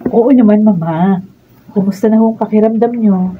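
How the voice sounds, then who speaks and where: A woman's voice: mid-range voice, normal speed, slight background noise, lightly acted. A woman playing a respectful, appeasing daughter-in-law in a radio drama, with a Filipino accent. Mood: solicitous. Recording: thin, phone-like room recording.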